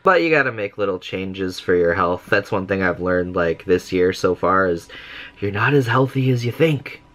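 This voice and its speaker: A man's voice: low-pitched, expressive, moderate pace, a bit bright. A young man vlogging from his small bedroom, talking casually and rambling close to the camera with self-mocking humor. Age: young adult. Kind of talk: casual daily vlog chat. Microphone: camera close to face.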